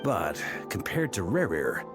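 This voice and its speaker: Animated knight commander. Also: male voice, low-pitched, measured pace, exaggerated voice. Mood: measured. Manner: commanding, assured. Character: hearty veteran knight commander, confident, battle-seasoned. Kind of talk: video game character acting. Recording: music behind the voice.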